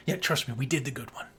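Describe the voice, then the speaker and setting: A man's voice: medium pitch, breathy edge. A man co-hosting a podcast, chatting casually and giving his opinions with emphatic enthusiasm. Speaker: podcast co-host. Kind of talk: casual opinionated movie chat.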